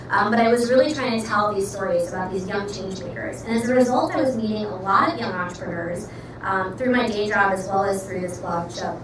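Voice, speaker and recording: A young woman with an American accent, a startup founder giving an earnest pitch talk to a live audience, full of ums and likes. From Pakistan. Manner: earnest, fast-flowing, many ums and likes. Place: event room with audience. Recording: echoey room, far mic, noisy.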